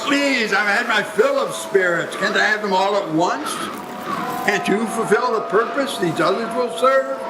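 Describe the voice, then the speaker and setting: A very noisy, echoing live stage recording of a querulous old miser played by a man. The high voice hesitates and pleads in nervous radio-drama style. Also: lively intonation, unhurried. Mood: reluctant, pleading. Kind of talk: live radio play acting. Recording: roomy live stage, loud background noise.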